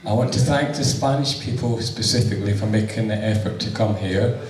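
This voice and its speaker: A man with a husky voice giving an unprepared wedding thank-you speech as the groom, hesitant with many ums. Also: on the low side, fairly quick. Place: wedding reception hall with guests. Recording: echoey hall, distant mic, loud crowd.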